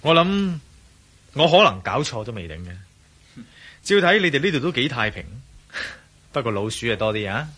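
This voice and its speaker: A man's voice: medium pitch, very animated, normal speed, pauses often, fairly bright tone, breathy edge. A man playing a bold, suspicious adventurer in a radio drama, speaking Hong Kong Cantonese in a commanding manner. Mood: guarded.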